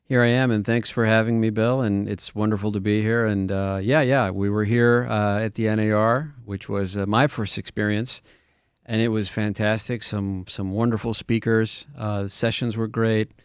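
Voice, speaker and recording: A man from Argentina, a real estate broker, answering questions as a radio guest in a measured, explanatory way with frequent ums and uhs. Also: lowish voice, steady tone, clear, resonant tone, dry recording, clean studio sound.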